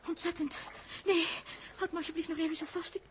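A woman's voice: very high-pitched, level tone, fairly quick, slight background noise. An actress playing a frightened young space crewwoman, anxious and pleading, in a 1960s radio play. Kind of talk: 1960s radio drama acting.

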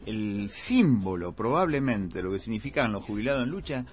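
A man's voice: on the low side, animated, normal speed. A man, co-hosting a radio show and telling stories emphatically. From Argentina. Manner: storytelling, emphatic, pace swings. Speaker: radio co-host. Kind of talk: casual opinionated chat.

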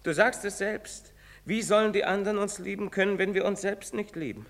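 Priest,, earnest and insistent, radio play in a reverberant space. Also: male voice, high-pitched, fairly even intonation, brisk, creaky. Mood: urging. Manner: preaching, insistent, dropping to guilty whispers. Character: earnest priest urging a young man. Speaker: actor. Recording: long reverberant studio echo.

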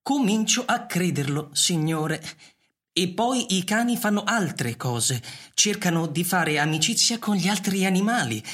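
An actor voicing an ancient, loyal robot butler in a radio drama, formal, deferential and wistful, speaking in a measured way. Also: medium pitch, expressive, fairly bright tone. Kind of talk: Italian radio theatre acting.

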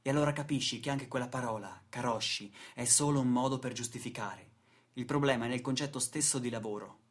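A man's voice: mid-range voice, fairly quick. A man delivering a scripted radio theatre monologue in a solemn, rhetorical, declamatory manner. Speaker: stage actor and narrator. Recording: music playing behind the voice. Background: music.

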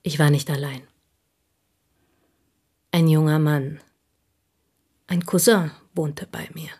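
Bitter intense woman confessing in a radio play, lowish husky breathy voice, fervent and halting. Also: steady tone, dark tone, exaggerated voice. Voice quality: husky, breathy, dropping into whispers. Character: intense, embittered provincial Frenchwoman, confessing devoted confidante. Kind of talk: German radio play acting, dramatic monologue.

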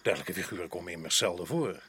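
An actor playing a stubborn, self-assured colonial official in a radio play, with a dismissive, opinionated manner. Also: male voice, deep voice, fast talker, a bit bright, husky. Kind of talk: radio drama acting in Dutch. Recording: noisy old radio play recording.

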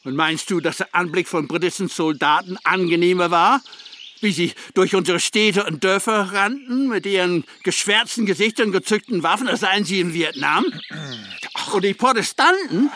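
An actor playing a cynical, scornful Irish former militant and double agent in a German crime radio play. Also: male voice, high voice, moderate pace, sharp tone, slight background noise. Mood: scornful, indignant. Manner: scornful, sarcastic, theatrical.

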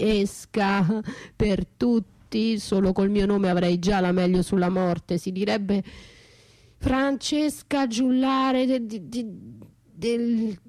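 An actress playing an anguished, bitterly lyrical battered wife left for dead, in an intimate, halting radio theatre monologue that drifts into whispers. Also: female voice, medium pitch, mellow. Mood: dreamy, broken. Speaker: stage and radio actress performing her own monologue. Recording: roomy echo, faint music behind.

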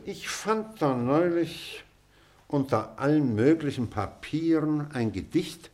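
An older actor playing an elderly schoolmaster, pedantic and wistful, lecturing deliberately in a German radio play. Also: male voice, a little high.

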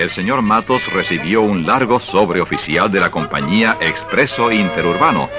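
Male storyteller for radio theatre, very low voice with lively, expressive intonation. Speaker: story narrator. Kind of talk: dramatic scripted radio-play narration.

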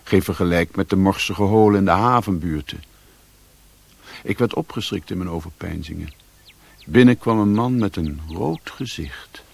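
World-weary adventurer narrating a radio play, low husky gravelly voice. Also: male voice, moderate pace. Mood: brooding, reflective. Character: brooding, world-weary male adventurer recounting his story. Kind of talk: scripted first-person radio drama narration.

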